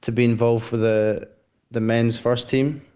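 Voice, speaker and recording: A man's voice: medium pitch, little pitch movement, a little slow, a little mellow. A young Scottish man with a Glasgow accent, a footballer answering interview questions hesitantly, with many fillers and repeated words. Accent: Glaswegian. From Scotland. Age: 20s.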